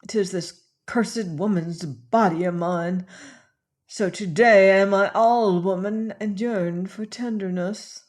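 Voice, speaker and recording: A man's voice: sits high, fairly even intonation, takes it slowly. A man voicing a fierce, commanding young woman aboard a pirate ship, imperious and weary by turns. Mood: languid. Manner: imperious, scornful, then weary and languid.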